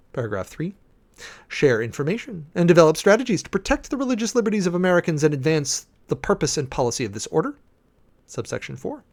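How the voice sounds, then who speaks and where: A man giving a theatrical, emphatic dramatic reading of a formal document. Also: a little high. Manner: theatrical, emphatic, enumerating items with swooping emphasis. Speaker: preacher doing a dramatic reading with commentary.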